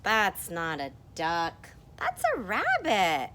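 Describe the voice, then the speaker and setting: A woman's voice: lowish voice, wildly swooping pitch, takes it slowly, heavy background noise, exaggerated voice. A woman voicing a stubborn storyteller who insists the animal is a rabbit.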